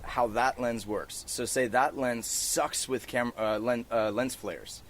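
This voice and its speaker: A man's voice: medium pitch, fairly even intonation, slow pace, a little hiss or hum. A man hosting a casual podcast chat, talking in an informal, rambling way with some swearing. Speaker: podcast host. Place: outdoors on a porch.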